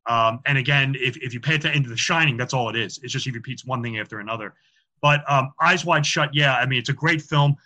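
A man's voice: mid-range voice, fast talker, pushed and bright. A man talking as a podcast guest about film symbolism, chatty and explanatory, with frequent fillers and repeated words. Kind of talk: casual interview talk.

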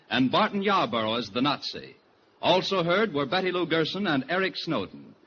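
A man with an American accent reading scripted announcements in 1940s network radio style. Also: on the high side. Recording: lo-fi 1940s radio, faint noise.

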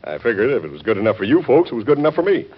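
A man's voice: mid-range voice, big pitch swings, rapid-fire, slightly rough. A man acting a folksy, cheeky sailor down on his luck, talking in nautical slang with familiar, hesitant patter in an old-time radio drama. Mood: cheeky, hopeful. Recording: dull, band-limited old radio recording.

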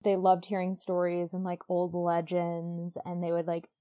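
A woman's voice: fairly low pitch, completely flat delivery, moderate pace, mellow. Chatty, gushing woman podcast co-host. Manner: chatty, gushing, frequent "like" asides. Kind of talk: casual book discussion podcast.

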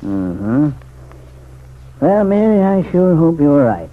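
An actor playing a folksy, weary and cautious small-town sheriff in a vintage radio serial, homespun and given to thoughtful hums. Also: male voice, a little high, big pitch swings, slow pace. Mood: cautious, doubtful. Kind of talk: 1940s radio serial acting. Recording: tinny, narrow vintage radio recording.

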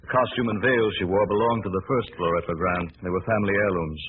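An actor playing a calm, assured gentleman sleuth, measured and polished, in 1940s radio drama style. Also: low-pitched, lightly acted. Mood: calm, explanatory. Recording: noisy muffled vintage radio broadcast.

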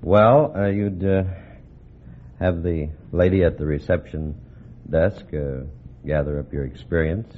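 A man's voice: deep voice, fairly even intonation, a little slow. A man giving earnest answers as a contestant on an old radio quiz. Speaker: driving instructor, quiz show contestant. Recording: tinny old radio recording, faint noise.

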